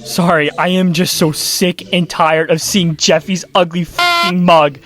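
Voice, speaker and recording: Animated, sarcastic commentator over faint music. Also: medium pitch, measured pace. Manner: exasperated, sarcastic, swears freely. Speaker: YouTube video essayist. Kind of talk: casual commentary with scripted narration.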